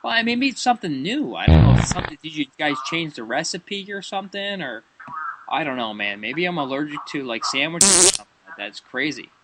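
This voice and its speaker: A man's voice: a little high, big pitch swings, quick pace, heavy background noise. A man improvising a prank phone call as a comedy host, rambling and stammering and often repeating himself.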